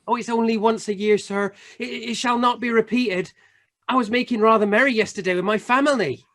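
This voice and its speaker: A man plays a meek, apologetic clerk in a radio play. His high voice is hesitant and stammering, full of nervous deference. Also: steady tone, a little fast, a bit bright. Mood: sheepish, anxious. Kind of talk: amateur radio play acting.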